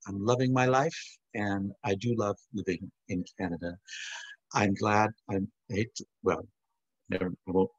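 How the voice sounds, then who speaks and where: A man's voice: on the low side, steady tone, normal speed, no echo, lo-fi sound. A man in his sixties chatting in a rambling way from his home over a video call. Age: 60s. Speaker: musician and alumnus. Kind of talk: casual video call chat.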